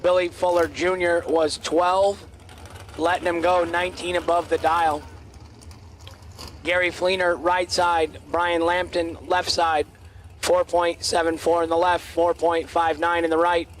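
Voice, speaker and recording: A man announcing drag races at an outdoor track in clipped, terse calls, his voice going hoarse. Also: fairly high pitch, level tone, a little slow, a little hiss or hum. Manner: clipped, terse calls of lanes, dial-ins and reaction times. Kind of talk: race commentary.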